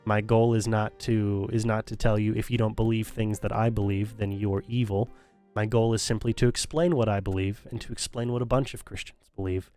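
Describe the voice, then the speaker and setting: Low-voiced man rambling to his stream. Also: steady tone, fairly quick, dark tone, soft background music. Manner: rambling, many restarts and fillers. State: voice strained, recovering. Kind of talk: casual stream sign-off talk.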